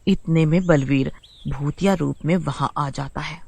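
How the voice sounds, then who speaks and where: A woman's voice: low voice, measured pace, vocal fry, darkish tone, slightly rough, no room sound. A woman narrating a scripted children's story as the storyteller.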